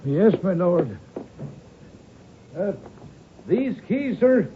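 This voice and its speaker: An actor playing a loyal, anxious Irish manservant in a radio drama, raspy, deferential and hesitant, with an Irish accent. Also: male voice, high-pitched, sing-song, very slow, background noise, heavily acted. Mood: dutiful, hesitant. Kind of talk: old-time radio drama acting. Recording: muffled, narrow-band vintage radio recording.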